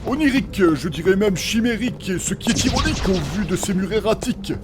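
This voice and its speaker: A man's voice: high-pitched, very animated, fairly quick, background noise. A man playing a cheeky, irreverent young joker in a fantasy audio comedy, tossing out flippant, teasing lines. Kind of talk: French humorous fantasy audio fiction acting. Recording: music behind the voice.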